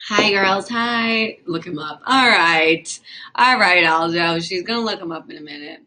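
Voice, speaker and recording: Young woman streamer, casual and giggly, bright voice, rambling chat host. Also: lowish voice, measured pace. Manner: chatty, giggly, lots of fillers. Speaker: livestream host. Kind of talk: casual chat reading viewer comments.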